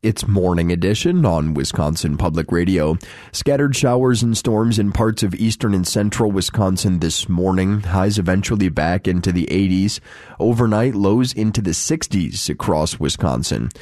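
Deep-voiced man radio announcer. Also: normal speed, a little mellow, no room sound, clean studio sound. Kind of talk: radio continuity and weather report.

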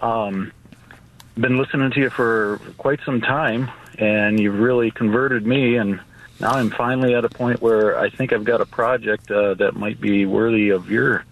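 A man calling in to a radio show, asking his question hesitantly with many pauses and restarts. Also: lowish voice, fairly even intonation, a little hiss or hum. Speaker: caller. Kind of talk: call-in question. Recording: dull phone line.

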